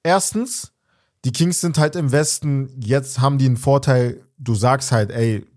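A man co-hosting a casual podcast, using slang and thinking out loud, often trailing off mid-sentence. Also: mid-range voice, a little slow. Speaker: podcast co-host. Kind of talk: casual chat.

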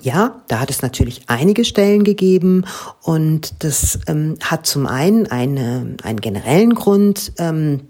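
A woman's voice: sits low, expressive, measured pace, warm tone, breathy edge. A woman translator answering students' interview questions, explaining her work thoughtfully at length with frequent hesitations.

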